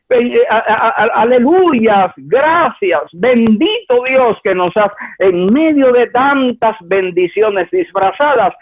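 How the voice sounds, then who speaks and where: A man from Cuba delivers a sermon with a lively, swinging pitch, rising to high exclamations and dropping to low asides. He repeats words for emphasis as he preaches. The sound is thin and tinny, as if heard down a phone line. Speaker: pastor.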